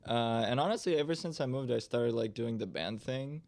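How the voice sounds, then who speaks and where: A young man from Russia talks through his band's story in loose, filler-heavy podcast chat. His voice sits in an ordinary middle register with a gravelly, fry-edged texture. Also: medium pitch, a little mellow. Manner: loose and chatty, many fillers, quick laughs. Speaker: band guitarist and singer, podcast guest.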